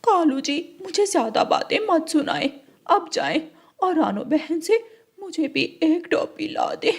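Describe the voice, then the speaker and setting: A woman's voice: extremely high pitch, very animated, unhurried, exaggerated voice. A woman voicing a demanding crow wife in a children's cartoon, plaintive and whining. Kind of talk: children's cartoon story acting.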